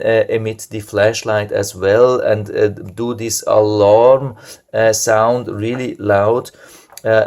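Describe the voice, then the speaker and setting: A man's voice: fairly low pitch, a little mellow. A man giving a casual, unscripted product review, explaining things step by step in a blunt, critical way.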